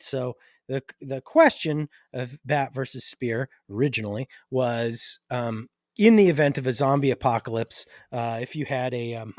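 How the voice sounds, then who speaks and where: A man co-hosting a podcast in casual chat, thinking out loud in a halting way. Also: medium pitch, no room sound, clean studio sound. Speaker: podcast co-host.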